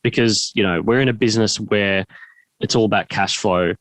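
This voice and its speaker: A man's voice: fairly low pitch, moderate pace, fairly bright tone, no room sound, rough recording. A man hosting an interview, talking conversationally and reflectively as he builds up long questions. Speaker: podcast host, interviewer.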